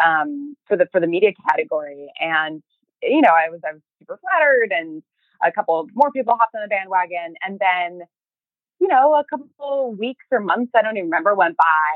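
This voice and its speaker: A woman giving a casual interview as a podcast guest, talking in a chatty, halting way and often slipping into quoted speech. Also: on the low side, dry recording, phone-quality sound. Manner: chatty, halting, acts out remembered thoughts and emails in quoted speech. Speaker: podcast guest, fiction podcast creator and writer.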